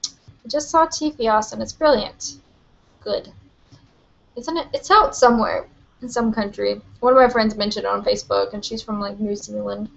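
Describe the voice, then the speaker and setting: A young woman hosting a live book Q&A from her webcam, chatty and rambling with lots of "like" and "um", her voice a little hoarse. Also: medium pitch, moderate pace, muffled recording. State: slightly hoarse, losing her voice. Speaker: book vlogger. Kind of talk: live-stream Q&A.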